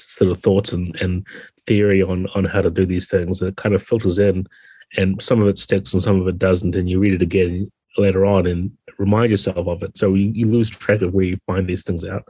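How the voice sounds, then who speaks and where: A middle-aged man with a New Zealand accent, talking reflectively and explaining things in a relaxed interview. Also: sits low, husky, no room sound. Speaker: podcast guest, game designer.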